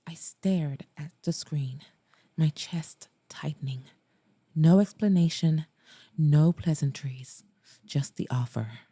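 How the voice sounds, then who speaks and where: A woman reading first-person romance narration for an audiobook in a soft, intimate, measured way. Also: low voice, slightly rough, dry recording.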